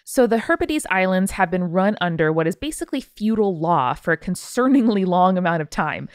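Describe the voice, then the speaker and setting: A woman's voice: fairly low pitch, lively intonation, a little fast, dry recording, clean studio sound. A woman hosting a podcast, reading out listener mail in a casual, irreverent way with wry asides. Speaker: podcast host.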